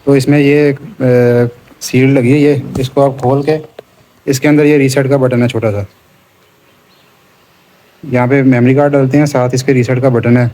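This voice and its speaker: A man's voice: medium pitch, flat delivery, moderate pace, long pauses, dark tone, poor audio. A man giving an informal hands-on tutorial as an installer, explaining step by step and repeating his instructions.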